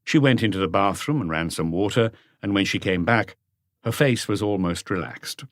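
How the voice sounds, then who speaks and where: A man reading scripted literary narration as an audiobook narrator, with a measured storytelling delivery. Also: low voice, fairly bright tone, dry recording, studio quality.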